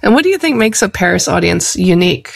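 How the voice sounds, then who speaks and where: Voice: mid-range voice, very animated, quick pace, gravelly. A young adult interviewer in a casual chat, upbeat, laughing often and peppering sentences with "like".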